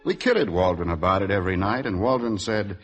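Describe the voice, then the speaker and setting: A man's voice: deep voice, expressive, fairly quick, highs cut off. An actor playing an easygoing, reflective man who narrates a 1950s radio drama in a wry, conversational way. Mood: wry reminiscence. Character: Mac, easygoing, reflective man recalling a summer acquaintance. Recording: 1950s radio broadcast with music underneath.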